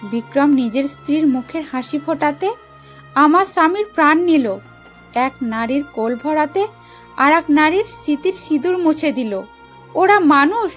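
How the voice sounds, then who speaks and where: A woman's voice: sits high, expressive, measured pace, soft background music. A woman playing a wronged, grieving young mother in a cartoon moral story, declaiming with righteous defiance. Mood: bitter outrage. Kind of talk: dramatic animated moral story acting.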